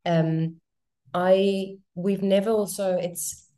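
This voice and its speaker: A woman's voice: medium pitch, steady tone, slow, warm tone. A woman from an Afrikaans-speaking background with a South African accent, a guest actress in a casual podcast interview who talks thoughtfully and often circles back to rephrase.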